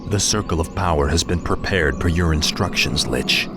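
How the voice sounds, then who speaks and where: An actor playing a cold, ruthless young death knight in a video game, speaking in a curt, hard way. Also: male voice, low voice, normal speed. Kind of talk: video game cutscene acting. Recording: game audio over loud music.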